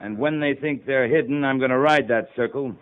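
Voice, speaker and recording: A man's voice: mid-range voice, fairly quick, clear, resonant tone, natural voice. Guy doing a bossy terse army captain from an old cowboy radio show, muffled narrow sound. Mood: stern. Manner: clipped, authoritative. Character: commanding, terse cavalry captain of the frontier West. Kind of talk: 1950s radio western acting.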